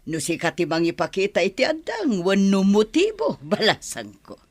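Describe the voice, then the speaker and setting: An actress plays a nosy older village mother, scheming as a matchmaker, with an Ilocano accent. Her pitch swings extremely widely, and the sound is close and dry, as in a radio comedy. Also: huge pitch swings, moderate pace, no echo. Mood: teasing, coaxing. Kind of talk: Filipino comedy radio drama acting.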